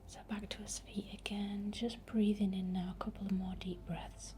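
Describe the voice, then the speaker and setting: A woman whispering softly and soothingly in an unhurried ASMR guided meditation, leading a healing session. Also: medium pitch, flat delivery.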